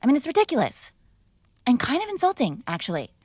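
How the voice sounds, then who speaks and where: A woman's voice: high-pitched, huge pitch swings, fast, darkish tone, slightly rough, no echo, studio quality, exaggerated voice. A woman playing a spoiled, defiant mob boss's young daughter in a conversational, argumentative ASMR roleplay. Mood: exasperated, coaxing. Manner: conversational, sighing, coaxing and argumentative. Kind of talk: ASMR girlfriend roleplay, one-sided conversational acting.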